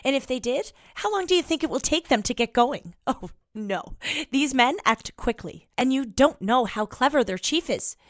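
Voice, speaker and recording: A woman acts out a female secret agent on the run in a radio play. Her voice sits slightly high and very dry, confiding in a grave, urgent and dramatic way. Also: animated, normal speed, few pauses, no room sound. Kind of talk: audio play acting.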